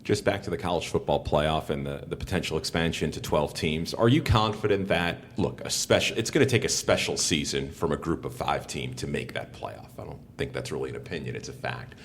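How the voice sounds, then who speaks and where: A man's voice: fairly quick, few pauses. A man working as an interviewer and sports broadcaster, posing questions in a conversational style. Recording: reverberant hall sound with rumbling noise.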